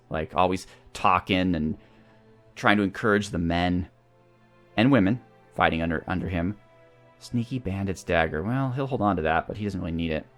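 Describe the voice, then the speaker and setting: A man's voice: low-pitched, a bit bright. A man hosting a gaming channel, talking through a strategy game and thinking aloud as he goes. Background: video game music.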